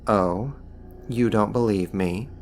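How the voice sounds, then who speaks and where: A man voicing a powerful, smugly dominant archdemon in an ASMR roleplay, mocking and menacing with a theatrical, deliberate delivery. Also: fairly low pitch, darkish tone, a little hiss or hum. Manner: theatrical, taunting, slow and deliberate.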